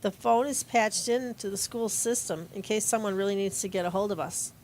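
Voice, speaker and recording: A woman's voice: mid-range voice, brisk, a little mellow, natural voice. A woman acting a dry, easygoing teacher and aunt in an amateur audio play, with faint room noise.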